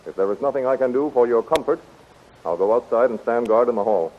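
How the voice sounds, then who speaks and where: A man's voice: medium pitch, expressive, fast, slight background noise, lightly acted. A man playing a stern, curt palace guard in a 1930s radio drama, on a thin, phone-like recording. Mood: firm, dismissive.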